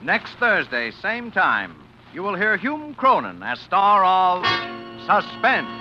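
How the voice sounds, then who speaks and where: Man, radio announcer, booming, 1940s broadcast, muffled. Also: wildly swooping pitch, slow pace. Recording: dull old radio broadcast with music.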